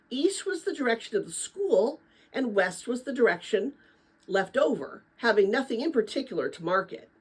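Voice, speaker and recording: Female storybook narrator, animated and expressive, with a husky grain, reading middle-grade fiction dramatically. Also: on the high side, poor audio. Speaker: read-aloud narrator.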